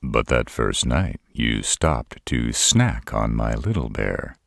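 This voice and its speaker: A man playing a gruff, protective older father in a roleplay audio, with a gravelly voice and a slow, deadpan, measured delivery. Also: dry recording, clean studio sound, full character voice. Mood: dry, stern. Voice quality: deep, gravelly. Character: gruff old father of a werebear's mate, protective, dryly stern.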